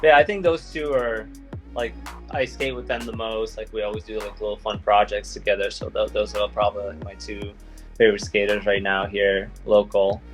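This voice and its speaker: A man's voice: lowish voice, little pitch movement, normal speed, faint music behind. A young man from Belgium, a guest skater talking in a loose, laid-back way with lots of fillers. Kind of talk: casual interview chat.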